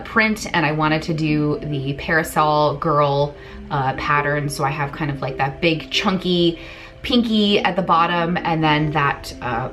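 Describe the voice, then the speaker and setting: A woman's voice: sits low, a bit bright, a little hiss or hum. Chatty, self-mocking woman vlogger. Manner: chatty, self-deprecating, jokey. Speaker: craft vlogger. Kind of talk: casual tutorial chat. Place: home room, indoors.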